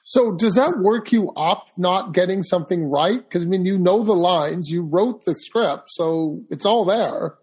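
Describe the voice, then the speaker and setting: Interviewer, husky tone, plain direct questions. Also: medium pitch, measured pace, hardly any pauses, darkish tone.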